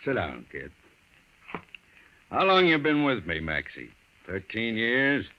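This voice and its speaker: Bullying mob boss: slangy tough guy, suspicious and bossy, mid-pitched, muffled vintage recording. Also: wildly swooping pitch, takes it slowly, slight background noise. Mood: confiding, scheming. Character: tough, suspicious, bullying big-shot male gangster boss. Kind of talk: old-time radio crime drama acting. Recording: muffled old radio recording.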